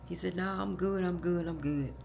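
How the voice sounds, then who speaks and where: A hoarse, deeply gravelly woman tells her viewers a long personal story. She rambles, restarts her sentences and speaks to them directly as "y'all." A faint noise sits under the recording. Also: fairly even intonation, normal speed. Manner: rambling, repeats and restarts, talks straight to "y'all". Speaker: vlogger.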